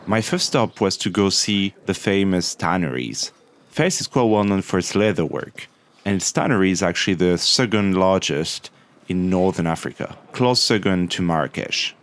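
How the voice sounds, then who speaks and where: A young man telling about his travels in a relaxed, chatty way, with lots of small fillers. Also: deep voice, moderate pace. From Morocco. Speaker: travel vlogger. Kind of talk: casual travel vlog narration.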